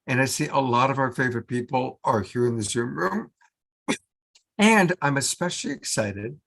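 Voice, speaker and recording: A man's voice: mid-range voice, fairly bright tone. Enthusiastic male online show host. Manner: enthusiastic, joking. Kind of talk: casual live online show chat. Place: video call.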